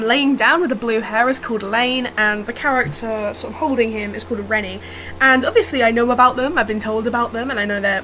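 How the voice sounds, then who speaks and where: Higher-voiced woman, slightly rough, over fan noise. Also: a bit bright, rough recording. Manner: informal, self-deprecating, chatty. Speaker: digital artist narrating own speedpaint. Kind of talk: casual unscripted art commentary. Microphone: built-in or improvised, no dedicated mic. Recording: fan noise, no proper mic. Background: whirring fan.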